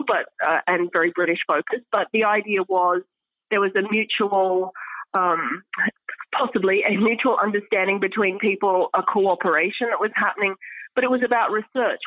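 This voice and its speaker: A woman speaking as an academic guest in an interview, explaining things in a measured, scholarly manner. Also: medium pitch, steady tone, dry recording, lo-fi sound. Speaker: guest academic, archaeology professor.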